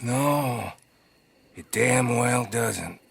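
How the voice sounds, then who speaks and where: An American actor playing a sneering, scheming outlaw gunslinger with a mocking drawl in a video game cutscene. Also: male voice, medium pitch, lively intonation, very slow, gravelly, very noisy, full character voice. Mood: defensive.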